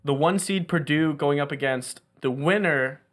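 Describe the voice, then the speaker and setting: A man's voice: fairly high pitch, moderate pace, fairly bright tone. A young man hosting a casual sports betting podcast, leading the picks with an upbeat, joking manner. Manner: upbeat, leads picks, laughs often. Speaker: podcast host.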